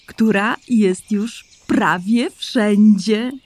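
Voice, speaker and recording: A woman voicing a gentle, loving mother rabbit in a children's audio drama, speaking tenderly and calling her son sweet names. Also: medium pitch, very slow. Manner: tender and soothing, calls her son endearments.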